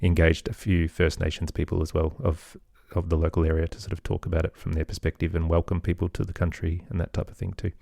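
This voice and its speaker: A man's voice: low-pitched, little pitch movement, fast, gravelly, hardly any pauses, a little mellow, no room sound, studio quality. A middle-aged man talking casually as an interview guest, in a hesitant, self-effacing, rambling way. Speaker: podcast guest, audio storyteller and producer.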